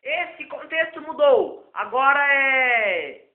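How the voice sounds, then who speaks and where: A hoarse man, giving a rambling, improvised tech lesson as a self-taught computer tutor. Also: extremely high pitch, animated, slow pace. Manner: rambling and repetitive. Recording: echoey, phone-like lo-fi recording.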